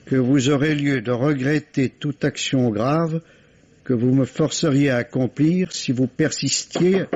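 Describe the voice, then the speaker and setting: An actor playing an authoritative, probing police inspector in a French radio mystery play, formal and drily ironic. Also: male voice, a little high, darkish tone. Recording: muffled old French radio recording.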